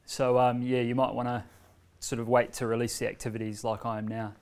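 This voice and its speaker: Brief: New man, 30s, easygoing workshop presenter, mid-pitched voice, room mic from across the room. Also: clean tone. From New Zealand. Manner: relaxed, conversational, many fillers and pauses; standing, walking between screen and laptop. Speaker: presenter demonstrating a learning tool. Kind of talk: informal workshop demonstration. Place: bright medium-sized meeting room with a screen, indoors. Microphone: far camera.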